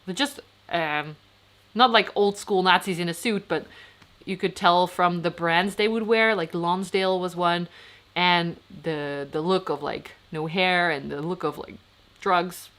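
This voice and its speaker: A woman's voice: fairly low pitch, a little slow, a bit bright. A Dutch woman in her thirties, chatting casually on a livestream as she answers comments, rambling, with frequent fillers and restarts. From Netherlands. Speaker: vlogger.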